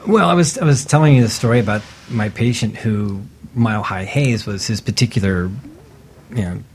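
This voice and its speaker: A man's voice: lowish voice, normal speed, darkish tone, a little hiss or hum. A man talking on a radio show in a casual, earnest, matter-of-fact way.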